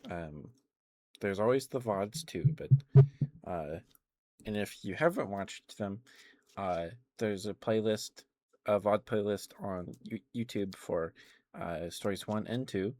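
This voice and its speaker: A man's voice: lowish voice, slow pace, darkish tone, no echo. A man streaming ASMR, chatting casually in a rambling, hesitant way into a close microphone. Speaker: live streamer. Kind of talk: ASMR, casual rambling chat to live viewers.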